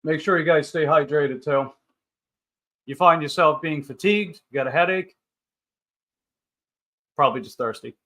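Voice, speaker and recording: A man's voice: a little high, normal speed, long pauses, sharp tone. A thirty-year-old man hosting a casual livestream, rambling along in upbeat banter as he chats with viewers. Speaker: livestream host. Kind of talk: casual livestream chat, reading and answering viewer comments.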